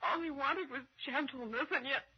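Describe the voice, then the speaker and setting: A woman's voice: high-pitched, normal speed, natural voice. An American woman acting a frightened, pleading young wife in a 1960s radio suspense drama. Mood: shaken. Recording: dull old radio recording.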